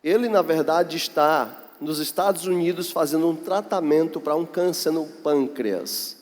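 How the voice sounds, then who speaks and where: Brazilian pastor in an echoey hall. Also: male voice, on the high side, a little slow, darkish tone. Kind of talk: missions presentation to a church. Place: large echoey church hall. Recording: echoey hall, long reverb.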